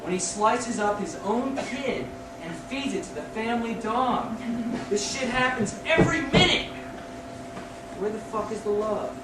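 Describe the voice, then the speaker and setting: A man reading poetry aloud as a poet, declaiming in short, deliberate phrases. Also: sits high, animated. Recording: distant echoey room recording with noise.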